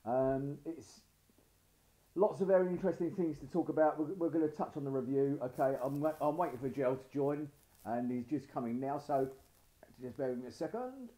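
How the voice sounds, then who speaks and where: Croaky, higher-voiced man hosting. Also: moderate pace, dark tone, poor audio. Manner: chatty, rambling with restarts. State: hoarse. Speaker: podcast host. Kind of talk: casual live stream chat.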